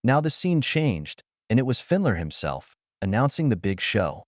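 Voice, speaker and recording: A man's voice: mid-range voice, very animated, moderate pace, no echo, clean studio sound. A man reading pulp science fiction aloud as a scripted audiobook narrator.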